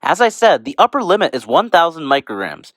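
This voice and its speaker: An actor plays a cocky male vitamin character in an educational skit. He is sarcastic and self-satisfied, with a casual delivery. His pitch leaps around a lot, and the sound is dry, with no room to it. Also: very animated, a little fast, a bit bright, no echo.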